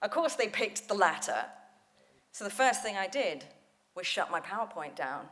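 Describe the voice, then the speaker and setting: A woman speaking coach leading a conference panel, energetic and storytelling, laughing often. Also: medium pitch, normal speed. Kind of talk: lively panel talk. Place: conference hall stage.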